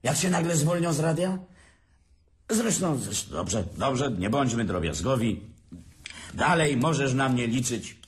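A man's voice: mid-range voice, sing-song, unhurried. An actor playing a bitter, drunk older brother who mocks and laughs at his sibling in a radio drama. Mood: taunting. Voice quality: sneering, laughs often.

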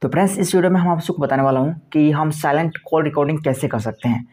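A man narrates a tech tutorial. His voice sits a little high and he moves along quickly. He gives instructions in repetitive, step-by-step phrasing. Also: a little mellow. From India.

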